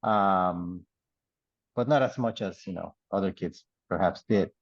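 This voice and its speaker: A middle-aged man from the Dominican Republic and Puerto Rico, an artist in residence, telling his life story in a relaxed, rambling way with many fillers and laughs. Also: low voice, unhurried, no room sound, dull audio. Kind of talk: oral history interview.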